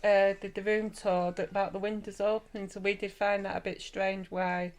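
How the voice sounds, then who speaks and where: Woman, family vlog, agreeable, chiming in quickly, hotel room. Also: mid-range voice, level tone. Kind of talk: casual family chat.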